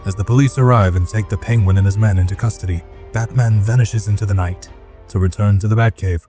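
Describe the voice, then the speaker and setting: A man's voice: fairly low pitch, fairly even intonation, brisk, mellow, far from the mic, faint music behind. A man giving dramatic scripted narration as the narrator of an audio drama.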